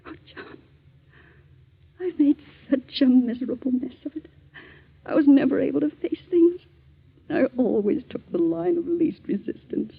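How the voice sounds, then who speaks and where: A woman's voice: high-pitched, moderate pace, gravelly, long pauses. An actress playing an ailing, remorseful middle-aged mother in a 1940s radio drama, weary and pleading. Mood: desperate pleading. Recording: muffled, scratchy 1940s radio broadcast.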